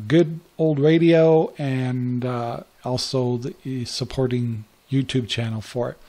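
A man's voice: medium pitch, creaky, darkish tone. An older American man hosting a podcast in a casual, rambling way. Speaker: podcast host. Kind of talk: casual, rambling show talk.

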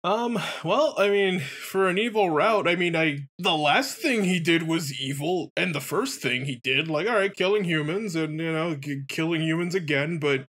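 A man with a husky voice co-hosting a let's play with casual, self-deprecating joking commentary. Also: a little high, expressive, normal speed, hardly any pauses, fairly bright tone.

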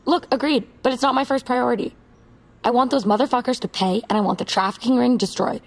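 Determined gal out for revenge, blunt, gravelly fry in her voice, audio drama. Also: on the high side, steady tone, fast. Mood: fierce resolve. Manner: resolute, blunt. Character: determined, vengeful young woman plotting to expose criminals. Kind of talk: naturalistic audio drama acting.

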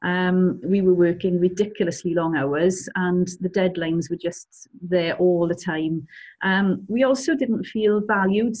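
Husky South Welsh woman, hesitant. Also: on the low side, a little slow, a little mellow. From South Wales. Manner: hesitant, with frequent ums. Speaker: accountant and practice owner. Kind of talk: interview answers over video call. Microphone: video call.

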